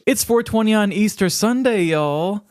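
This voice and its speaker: A man's voice: sits high, lively intonation, normal speed, a little mellow, smooth, no room sound. Playful man co-hosting a podcast, dry close sound. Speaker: podcast co-host. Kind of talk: casual comedic chat.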